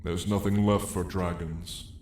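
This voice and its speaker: Man voicing a slow, deep ancient dragon. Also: level tone, darkish tone. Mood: solemn, wistful. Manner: solemn, deliberate, old-fashioned phrasing. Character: ancient weary male dragon, gentle, wistful, lonely. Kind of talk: ASMR roleplay storytelling. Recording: echoey space with faint hiss.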